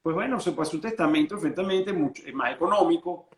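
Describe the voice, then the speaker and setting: A Venezuelan-born lawyer explaining estate planning in an interview, patient and full of restarts. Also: male voice, on the high side, fast, reverberant, slight background noise. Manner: explains patiently, many restarts and repeated words.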